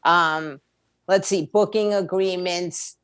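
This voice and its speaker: A woman's voice: lowish voice, fairly even intonation, slow pace, fairly bright tone, smooth, no echo. A woman speaking as a guest expert in an interview, explaining things in a plain-spoken, emphatic, conversational way. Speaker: guest, music attorney and indie label owner.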